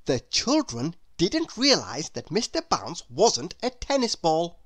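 Very animated, slow-paced woman reading a storybook. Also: fairly low pitch. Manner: expressive, deliberate. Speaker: storybook reader. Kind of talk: scripted children's story narration.

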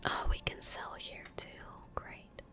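Whispering young woman, slow and soft. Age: young adult. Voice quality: breathy whisper. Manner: unhurried, murmuring to herself as she plays. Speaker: ASMR gaming streamer. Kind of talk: soft-spoken ASMR game commentary. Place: indoor room at a gaming setup. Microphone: close desk microphone.

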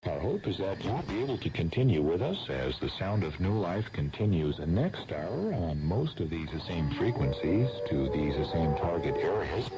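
Deep male religious radio announcer, scripted sign-off, loud music underneath. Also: poor audio.

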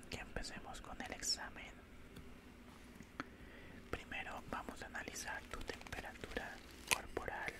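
A cold alien scientist, played by a man, whispers very slowly into a close microphone. The delivery is breathy and clinical, with a condescending, faintly menacing calm suited to an ASMR roleplay. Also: natural voice. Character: cold, condescending male alien scientist examining a human specimen. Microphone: close-up studio microphone.

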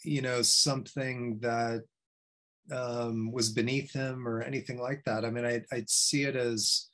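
A man's voice: mid-range voice, level tone, a little slow, a bit bright. A middle-aged male professor answering a question on a video call from his study, talking discursively with many "you know"s. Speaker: guest speaker, history professor. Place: attic study with bookshelves, indoors. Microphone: video call computer mic.

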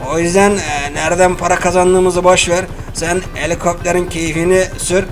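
A man's voice: high-pitched, flat delivery, moderate pace, voice pushed down, close to an everyday voice. A man voicing a boastful, scheming rich man in a toy cartoon. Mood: smug. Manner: boastful, whiny when upset.

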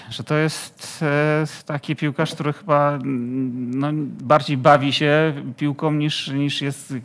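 A man talking football as a studio pundit, hesitant and full of fillers, in a TV studio. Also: a little high.

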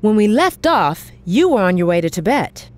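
An actress playing a calm, sceptical debriefer in a video game, asking questions in a measured, probing manner. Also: female voice, medium pitch, background noise, natural voice. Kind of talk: video game cutscene acting.

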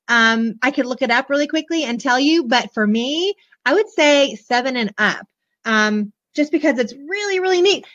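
Hoarse, high-voiced bubbly woman. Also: a bit bright. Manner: bubbly, gushing, chatty with viewers. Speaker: children's book seller. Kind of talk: casual live book show-and-tell. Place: small room indoors, decorated backdrop. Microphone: webcam at desk. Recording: dull lo-fi webcam audio.

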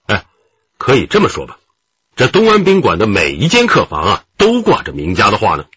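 An actor playing a genial, modest middle-aged detective chief who reminisces in a chatty, deliberate way in a radio drama. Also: male voice, fairly low pitch, huge pitch swings, a bit bright, breathy edge, dry recording, exaggerated voice. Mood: animated recounting. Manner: chatty, deliberate, with drawn-out interjections. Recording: muffled, dull radio drama recording.

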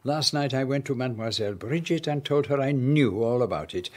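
Radio play: man voicing a sleuth, formal and measured, mid-pitched. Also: darkish tone, close to an everyday voice. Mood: calm, knowing. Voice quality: formal, measured diction with French touches. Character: fussy foreign male detective, precise and polite. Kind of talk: radio drama acting.

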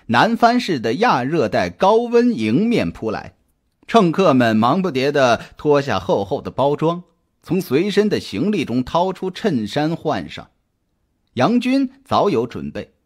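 A man narrating a scripted suspense story as an audiobook reader, in a dramatic storytelling style. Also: on the high side. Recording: music behind the voice. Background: background music.

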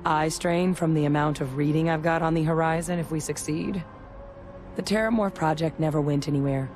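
A woman playing a candid, measured military veteran in a video game, speaking deliberately. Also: low-pitched, steady tone, darkish tone, soft background music, close to an everyday voice. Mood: measured, reassuring. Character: measured, candid female soldier-scientist, war veteran.

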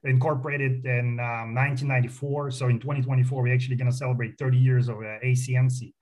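A man's voice: mid-range voice, flat delivery, quick pace, darkish tone. Russian-accented man, chatty with fillers. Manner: chatty, many fillers and restarts, proud. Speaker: company founder guest. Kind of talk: casual radio interview. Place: radio studio.

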